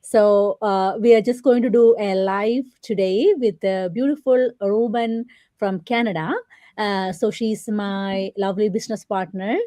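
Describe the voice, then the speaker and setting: A woman hosting a live interview over a video call, friendly and halting with lots of fillers. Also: mid-range voice, fairly even intonation, mellow, no echo. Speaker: host.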